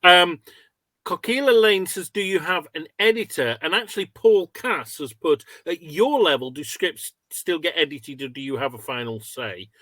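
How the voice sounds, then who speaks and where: A man's voice: a little high, expressive, moderate pace, bright, forceful tone, dry recording. A man hosting informally and reading out questions from an audience.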